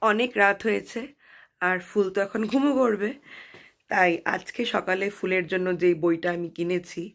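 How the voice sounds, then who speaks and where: A woman's voice: mid-range voice, steady tone, normal speed, vocal fry. A young adult woman with a Bengali accent talking casually as a home vlogger, mixing in English phrases. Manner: mixes English phrases into talk. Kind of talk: casual home vlog chat.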